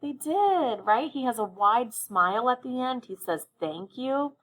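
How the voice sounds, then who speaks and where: A woman's voice: fairly high pitch, animated, a little slow, fairly bright tone. Woman teacher leading a children's read-aloud. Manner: encouraging, addresses children directly with check-in questions. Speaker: elementary reading teacher.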